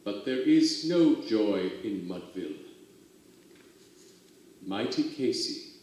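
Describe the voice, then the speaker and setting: A man giving a dramatic poetry recital, with expressive, theatrical storytelling and drawn-out emphasis. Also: lowish voice. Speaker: reader of poetry for a theater company. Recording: very echoey, roomy sound.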